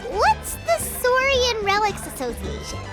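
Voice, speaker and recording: A woman voicing a tiny, perky childlike fairy companion in an anime-style game, squeaky and chirpy. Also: huge pitch swings, a little slow, exaggerated voice. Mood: curious. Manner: chirpy, eager. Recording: prominent game music behind the voice.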